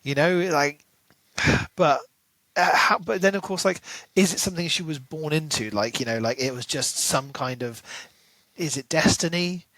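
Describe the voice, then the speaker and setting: A man's voice: a little high, normal speed, bright, forceful tone, no echo, poor audio. A man co-hosting a casual book discussion, talking in an analytical, questioning, conversational way. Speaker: podcast co-host.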